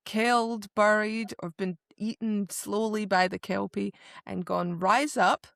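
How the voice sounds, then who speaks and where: A woman co-hosting a podcast, excitedly retelling book scenes in slangy casual chat with dramatic quoting. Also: medium pitch, a little slow, vocal fry, no echo, studio quality. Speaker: podcast co-host.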